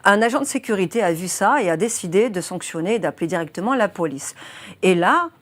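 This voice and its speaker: A young woman journalist in a studio interview walks through a grim case step by step. She speaks earnestly and weighs her words with care, with plenty of small fillers along the way. Her voice sits at an ordinary pitch for a woman. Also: expressive, brisk. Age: 20s. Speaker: journalist guest. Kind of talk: interview explaining a criminal case.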